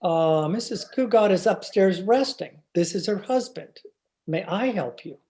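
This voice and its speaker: A long-suffering husband from a radio comedy, played by a man. He is dry and exasperated, with a mellow tone and unhurried speech. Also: sits high, expressive. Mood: polite, firm. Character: weary, exasperated husband, mild-mannered. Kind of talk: old-time radio comedy acting.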